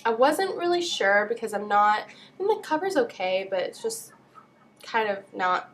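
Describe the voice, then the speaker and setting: A woman's voice: medium pitch, sing-song, moderate pace, slight background noise. A young woman with a nasal voice, stuffed up with a cold, chatting casually as she gives book reviews. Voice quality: nasal, congested. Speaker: book vlogger.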